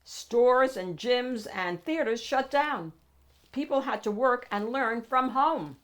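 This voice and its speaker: A woman narrating a children's picture book in an expressive, emphatic storytelling manner with deliberate phrasing. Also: on the high side. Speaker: storybook reader, read-aloud host.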